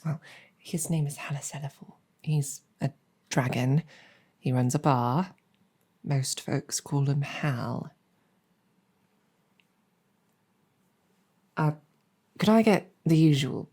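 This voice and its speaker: Female demon general, acted by a woman: deep-voiced, mellow, gracious and wry, soft-spoken ASMR roleplay. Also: slow, husky, full character voice. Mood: relaxed, friendly. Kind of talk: improvised ASMR roleplay storytelling.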